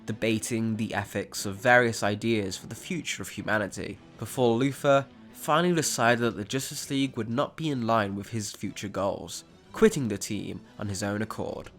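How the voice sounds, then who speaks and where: A man's voice: fairly low pitch, normal speed, hardly any pauses, fairly bright tone. Male narrator for a dramatic tale, scripted storytelling delivery, light music bed.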